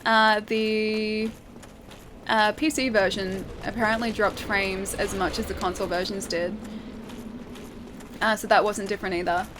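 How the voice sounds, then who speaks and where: A woman's voice: medium pitch, little pitch movement, unhurried, pauses often, background noise. An Australian woman streaming a game, chatting casually and reading her chat aloud, laughing often and thinking aloud with plenty of fillers.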